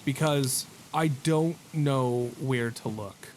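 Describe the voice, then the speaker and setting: A mid-pitched man commentates on a game in a casual, slangy way. He jokes at his own expense. Clear game noise runs behind his voice. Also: takes it slowly, darkish tone. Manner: joking, self-deprecating, slangy. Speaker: gaming video host. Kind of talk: casual let's-play commentary.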